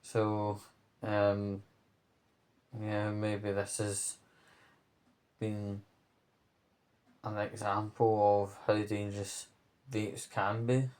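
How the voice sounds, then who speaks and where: Slow, even-toned man giving an earnest update. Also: lowish voice. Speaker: vlogger. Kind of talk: casual spoken vlog update.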